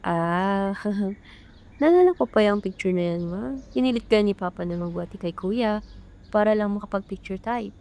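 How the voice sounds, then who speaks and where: A woman's voice: mid-range voice, moderate pace, mellow, close to an everyday voice. A woman with a Filipino accent playing a frank, caring teenage younger sibling in an amateur radio drama, candid and coaxing.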